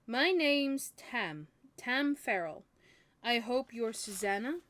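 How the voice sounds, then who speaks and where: A woman's voice: fairly high pitch, expressive, slow pace, fairly bright tone. A woman reading the part of a courteous, curious, learned young male customer in a novel.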